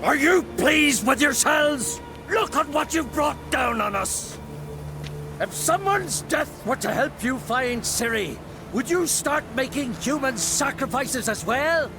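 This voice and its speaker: A man's voice: very high voice, animated, slow, heavily acted. A man playing a stern, indignant druid elder in a video game, speaking in a formal, theatrical way. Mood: furious. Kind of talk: video game cutscene acting. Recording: music under the voice.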